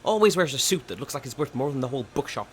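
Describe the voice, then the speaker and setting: A man's voice: medium pitch, sing-song, racing, a little hiss or hum, close to an everyday voice. A man as surly, swooping-voiced Styx. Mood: gruff, impatient. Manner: gruff, curt, sarcastic. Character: Styx, surly, short, intimidating male best friend. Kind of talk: audio drama dialogue.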